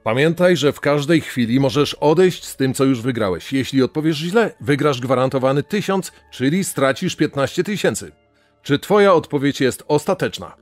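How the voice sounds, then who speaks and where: A man playing a measured, wryly puzzled quiz show host in a true-crime podcast reenactment. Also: mid-range voice, expressive, dry recording, lightly acted. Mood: measured, formal. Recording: music under the voice.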